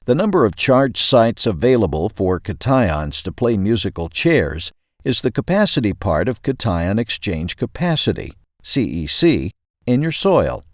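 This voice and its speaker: Guy reading an article out loud, kinda low voice, slow pace, explains stuff carefully, dry studio sound. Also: very animated, no room sound. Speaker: host reading an audio magazine article. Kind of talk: scripted narration.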